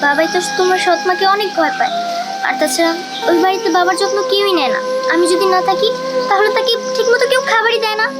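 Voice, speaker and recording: A woman's voice: extremely high pitch, fast, over loud music. A woman voicing a long-suffering, devoted young wife in a cartoon drama, earnest and pleading as she explains. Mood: distressed.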